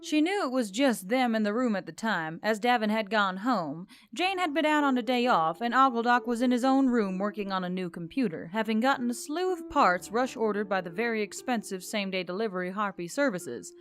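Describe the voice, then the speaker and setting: A woman's voice: fairly high pitch, expressive, brisk, hardly any pauses. A woman reading scripted narration of a fantasy story. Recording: background music under the voice. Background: music.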